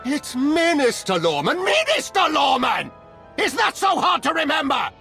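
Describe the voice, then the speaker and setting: Casting: touchy, pompous game bureaucrat, extremely animated pitch, faint music behind. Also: male voice, slow pace. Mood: exasperated. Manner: pompous, officious. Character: pompous, touchy male imperial government minister. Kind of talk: dramatic game acting.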